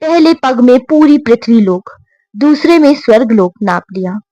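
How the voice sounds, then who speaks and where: A woman narrating scripted devotional stories in a measured, reverent and formal manner. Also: fairly high pitch, lively intonation, warm tone, dry recording, dull audio. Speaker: storyteller.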